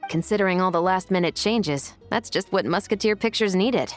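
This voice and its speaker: A woman voicing a stern, steady female officer who speaks tersely and in a level, composed way. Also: fairly low pitch, brisk, loud music behind the voice, natural voice. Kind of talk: video game voice acting.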